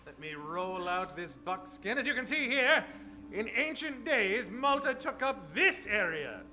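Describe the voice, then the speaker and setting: An actor playing an excitable, pompous private detective in an improvised old-time radio parody, theatrical and exclamatory. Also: male voice, high-pitched, unhurried, exaggerated voice. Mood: pompous explaining.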